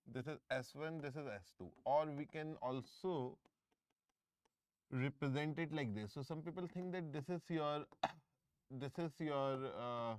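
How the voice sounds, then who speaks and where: Smooth-voiced Indian man teaching live. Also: medium pitch, a little mellow, no room sound. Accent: Indian English. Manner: checks in with students often, repeats phrases. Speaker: engineering exam tutor. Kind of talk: live online lecture. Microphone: close microphone.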